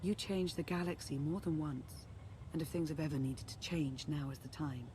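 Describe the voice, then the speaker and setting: A woman plays a female Sith strategist from a sci-fi video game. Her voice sits low for a woman, and she speaks formally and with measured care, earnest and composed. A faint ambient noise runs behind her. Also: mellow, natural voice. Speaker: advisor and ally. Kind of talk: scripted game dialogue, briefing.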